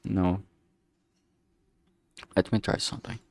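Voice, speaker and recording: Guy streamer mumbling thru ideas, lowish gravelly voice, lots of stops n starts, close dry mic. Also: fairly even intonation, darkish tone, no room sound. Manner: hesitant, halting, trailing off mid-thought. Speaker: streamer making a visual novel. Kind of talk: casual thinking aloud while drawing.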